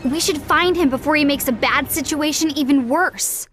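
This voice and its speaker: A woman's voice: high-pitched, moderate pace, noisy background, lightly acted. A woman playing a clever, sensible young girl in a video game, with an inquisitive, matter-of-fact manner. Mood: concerned. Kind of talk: video game cutscene voice acting. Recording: loud music under the voice.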